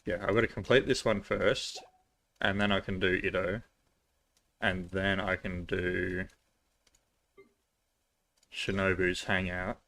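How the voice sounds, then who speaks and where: Bright, forceful-voiced man streaming a game. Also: deep voice, little pitch movement, takes it slowly, pauses often. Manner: informal, chatty, thinking aloud. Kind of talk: casual live gameplay commentary. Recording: game music in the background.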